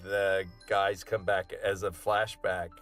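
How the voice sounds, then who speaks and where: A man's voice: low voice, takes it slowly, soft background music. A man who is a voice actor, chatting on a commentary track and telling self-mocking stories, acting out the quotes. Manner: animated storyteller, self-mocking, acts out quotes. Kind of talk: commentary chat.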